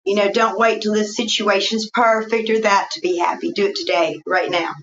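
A woman with a Southern US accent, a guest telling her life story slowly in a casual interview, with frequent fillers. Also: medium pitch, fairly even intonation, highs cut off, a little hiss or hum. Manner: slow, warm storytelling with fillers.

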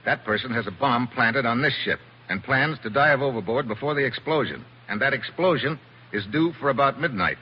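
An actor playing a tough, wry American special agent in a terse 1940s radio drama style. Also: male voice, mid-range voice, animated, a little fast, natural voice. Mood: cool accusing. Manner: hard-boiled, terse, wry. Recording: muffled, hissy 1940s radio recording.

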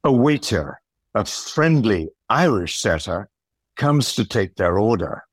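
A man playing a measured documentary-style narrator of an audio play, telling the story in a husky voice. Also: fairly low pitch, very animated, dry recording, studio quality, exaggerated voice.

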